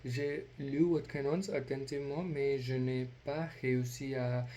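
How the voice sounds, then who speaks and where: A man with a non-native accent practising a polite role-play inquiry as a language learner, speaking haltingly with many hesitations. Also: medium pitch, little pitch movement, warm tone, slight background noise. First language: not French.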